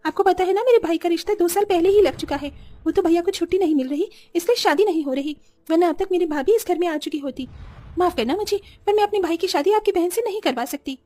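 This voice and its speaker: An earnest young seamstress from an animated village tale, voiced by a woman. Her voice is high and fast, with a polite, pleading manner. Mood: pleading, distressed. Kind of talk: animated story dialogue.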